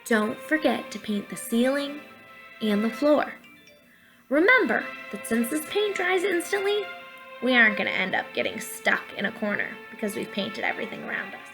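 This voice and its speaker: A young adult woman with a fairly high voice leads children through a guided drama exercise. She explains each step encouragingly, as a teacher would, speaking into a webcam at home. Soft music sits behind her. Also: very animated, normal speed, poor audio. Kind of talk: instructional talk and guided pantomime narration for children. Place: living room at home, indoors. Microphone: webcam or laptop at arm's length. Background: soft quiet music.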